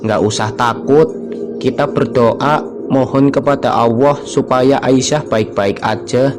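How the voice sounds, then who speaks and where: A man's voice: medium pitch, steady tone, measured pace, natural voice. A man voicing a level-headed young father in a cartoon who reassures his worried wife. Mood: concerned. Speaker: father of a sick child. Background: background music.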